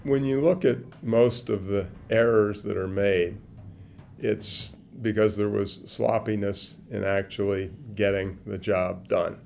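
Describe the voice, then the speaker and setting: An older man answering interview questions in a casual, animated way, on a lapel mic at an indoor event. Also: lowish voice, slow pace, gravelly, soft background music. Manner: animated, gestures with hands, swings from quiet to loud. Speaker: interviewee, business speaker. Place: indoor event interview corner before a branded backdrop.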